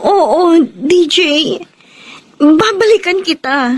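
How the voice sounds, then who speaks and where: Troubled young heroine for radio drama: extremely high voice, halting, emotional delivery. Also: female voice, animated, exaggerated voice. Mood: hesitant, guarded. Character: troubled, hesitant young woman, secretive and tearful. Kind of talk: Filipino radio drama acting.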